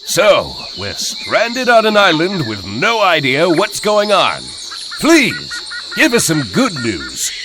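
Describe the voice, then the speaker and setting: A commanding game-cartoon soldier with a sarcastic streak. He talks at a slow pace with lively swings in pitch, over loud ambient noise. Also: exaggerated voice. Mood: sardonic. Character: gruff wisecracking male soldier squad leader. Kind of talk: animated action game cutscene acting. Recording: loud outdoor ambience and effects behind.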